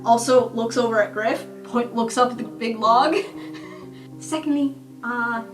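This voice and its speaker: A woman's voice: on the high side, measured pace. A woman playing a tabletop game, chatting and improvising casually in a playful, jokey way. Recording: echoey, distant mic, music behind. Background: guitar music.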